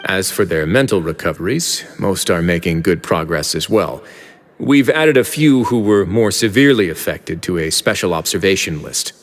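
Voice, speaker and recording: An actor playing a composed prison-warden duke with a dry, teasing manner, in a video game dub. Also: male voice, low voice, expressive, moderate pace, in a large hall. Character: calm male prison warden duke, wry and firm.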